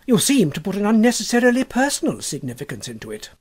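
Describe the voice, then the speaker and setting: A male narrator plays a stiff, bookish husband from a storybook. The voice is pitched high, formal and pompous, with a defensive air. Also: wildly swooping pitch, fast, breathy edge, heavily acted. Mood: stiff, announcing.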